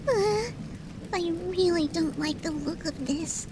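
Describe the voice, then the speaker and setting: A woman's voice: very high voice, slow pace, background noise. A woman voicing a plucky, boastful little flying fox-like female sidekick in a video game, perky and cheeky. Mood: nervous. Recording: music and rain behind the voice.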